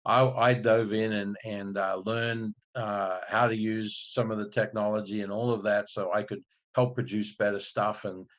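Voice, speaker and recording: Low-voiced man from Australia. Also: moderate pace. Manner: relaxed, rambling, with many restarts. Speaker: marketing agency owner, guest. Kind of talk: casual interview storytelling.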